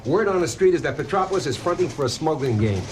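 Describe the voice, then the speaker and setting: An actor playing a wry, streetwise New York police lieutenant in a 1970s audio drama, blunt and wisecracking. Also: male voice, a little high, animated, quick pace, darkish tone, slight background noise, close to an everyday voice.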